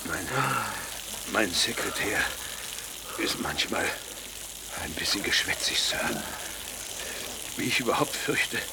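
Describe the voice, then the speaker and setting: A languid, sinister gentleman in a radio play, drained and elaborately polite. His low, halting voice sinks toward a whisper amid noisy sizzling ambience. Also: lively intonation. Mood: weary, polite. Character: languid, sinister, courteous gentleman stranger, exhausted. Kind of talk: radio drama acting. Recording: clear noise, watery sizzling ambience.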